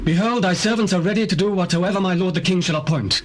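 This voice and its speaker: King's servant male actor, obedient, high, brisk, scripture drama, noisy cassette with birds. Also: natural voice. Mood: eager, deferential. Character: eager, obedient servant of a king. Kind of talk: dramatized scripture, stage acting. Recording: noisy old cassette tape, birdsong behind.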